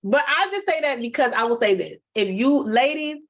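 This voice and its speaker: Podcast co-host, middle-aged woman, bright higher voice, emphatic and direct with listeners. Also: expressive, normal speed. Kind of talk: casual chat, storytelling.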